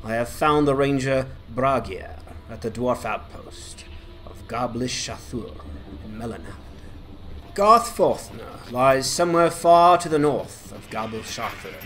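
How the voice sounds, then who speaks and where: A man's voice: medium pitch, animated, unhurried, fairly bright tone, faint music behind. A man giving scripted narration of a fantasy quest in the role of the traveling hero. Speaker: narrator and player character.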